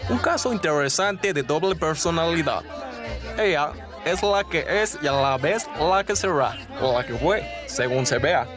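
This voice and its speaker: A man acts out a reserved American detective in a radio play. He speaks formally and with measure in a slightly high voice, over music and the murmur of a crowd. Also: lively intonation, normal speed, natural voice. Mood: musing. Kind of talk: radio drama acting.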